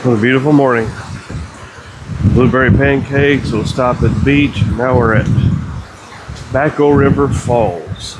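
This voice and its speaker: A man's voice: medium pitch, a little slow, pauses often, noisy background. A Midwestern American man with a stuffy head cold, narrating a casual outdoor travel vlog in an easygoing, upbeat way. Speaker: vlogger. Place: outdoors at a campsite and old mine site.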